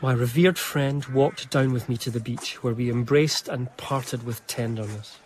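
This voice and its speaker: A man playing an earnest young gentleman diarist, narrating a period radio drama in a measured, confiding, literary way. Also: mid-range voice, background noise, close to an everyday voice. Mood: admiring, wistful.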